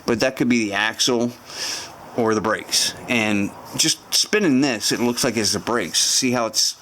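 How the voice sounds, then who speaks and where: A man's voice: medium pitch, unhurried, fairly bright tone, a little hiss or hum, poor audio. Rambling man talking through a repair. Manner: rambling and thinking aloud, many fillers and restarts. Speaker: motorcycle restoration vlogger. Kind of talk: casual garage walkthrough to camera. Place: home garage.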